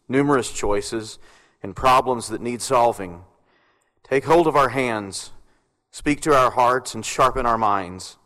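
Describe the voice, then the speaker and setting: A man's voice: medium pitch, a bit bright. Solemn man praying in an echoing hall. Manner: solemn, measured short phrases. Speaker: senator leading an opening prayer. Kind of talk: public prayer. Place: large legislative chamber. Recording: echoey hall with long reverb.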